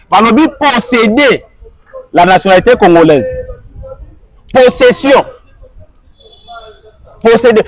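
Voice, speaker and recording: A man's voice: high-pitched, rough recording. A man answering an interviewer, arguing his point with repeated rhetorical questions and switching between French and Lingala. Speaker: guest.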